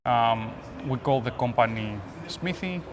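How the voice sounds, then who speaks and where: A man with a Greek accent giving conversational interview answers as an expert guest, pausing between phrases. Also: mid-range voice, animated. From Greece. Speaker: guest, application security expert. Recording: noisy urban ambience behind voice.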